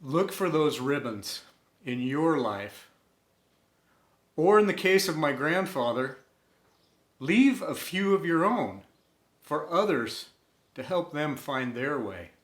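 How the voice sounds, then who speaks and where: Slow-paced male storyteller in an echoey room. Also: fairly high pitch, lively intonation, a bit bright. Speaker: storyteller and host. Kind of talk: scripted personal narration, inspirational story. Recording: roomy, echoing room sound.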